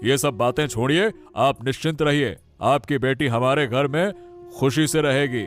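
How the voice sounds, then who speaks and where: A man's voice: mid-range voice, moderate pace, natural voice. A man voicing a middle-aged father-in-law in a cartoon story, who speaks firmly and reassuringly. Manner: firm, reassuring, scolding. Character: stern but kind middle-aged father-in-law, head of house. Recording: soft background music.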